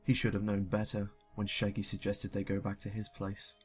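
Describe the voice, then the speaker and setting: Young guy w lowish voice reading a story, sweary n self-deprecating, faint music under it. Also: monotone, moderate pace. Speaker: YouTuber reading fan fiction. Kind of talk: casual story reading with joking asides.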